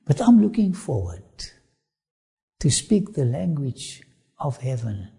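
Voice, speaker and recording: A man with an Afrikaans accent presents a travel documentary, leaning into each phrase with slow emphasis. His voice sits a little high but sounds dark and husky, with a gravelly edge. He drifts into sermon-like asides. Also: sing-song.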